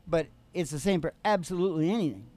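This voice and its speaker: A man's voice: on the high side, expressive, fast talker, mellow. A middle-aged man hosting a casual motivational chat into a stand microphone, rambling in a folksy way and asking leading questions. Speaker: host and country musician. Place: small curtained stage.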